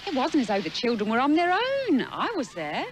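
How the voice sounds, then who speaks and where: A woman's voice: sits high, huge pitch swings, normal speed. An actress playing a chatty, self-justifying mother who talks at a neighbour, on a hissy old film soundtrack. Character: chatty, fussing English mother. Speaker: mother in a public information film.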